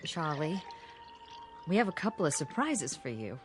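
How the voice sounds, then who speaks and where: An actress playing a brisk, managing married sister in a radio drama, with a direct manner. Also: female voice, low-pitched, sing-song, soft background music. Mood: brisk, coaxing.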